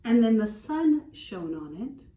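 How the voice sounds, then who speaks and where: A woman schoolteacher reading a children's storybook aloud, explaining simply and pausing to put questions to young listeners. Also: fairly high pitch, very animated, warm tone. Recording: roomy echo, distant microphone.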